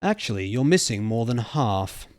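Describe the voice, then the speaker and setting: A man's voice: medium pitch, big pitch swings, normal speed, a little mellow, dry recording, studio quality, natural voice. A man voicing a cockney innkeeper who delivers slangy wheeler-dealer patter. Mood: cocky patter.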